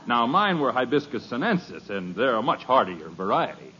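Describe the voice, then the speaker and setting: Pedantic fussy neighbor, polite, mid voice, faint hiss on a vintage radio recording. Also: male voice, mid-range voice, sing-song, moderate pace, sharp tone. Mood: prim. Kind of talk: 1950s radio comedy acting. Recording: muffled old radio broadcast.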